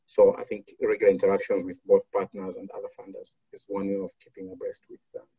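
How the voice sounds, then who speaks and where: Deep, dark-voiced man from Zambia. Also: level tone, husky, dry recording, highs cut off. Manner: measured, with many fillers and restarts. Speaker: foundation program officer. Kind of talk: interview answers.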